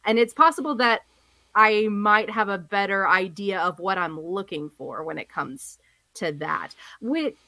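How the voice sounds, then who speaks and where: A woman co-hosting a podcast in casual discussion, hesitant, with many fillers, and quick to laugh. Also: medium pitch, expressive, pushed and bright, dry recording. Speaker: podcast co-host.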